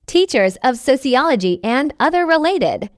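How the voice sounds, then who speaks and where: A woman reading a scripted practice passage aloud in a formal, measured manner. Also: high-pitched, very animated, no room sound, clean studio sound. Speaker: model reader for English test practice.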